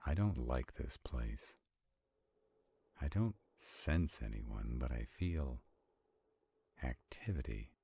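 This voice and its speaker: A man playing an arrogant, protective centuries-old vampire in a roleplay audio drama, haughty and brooding, with a gravelly, husky voice. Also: rumbling low, animated, slow, long pauses, warm tone, exaggerated voice. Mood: uneasy, wary.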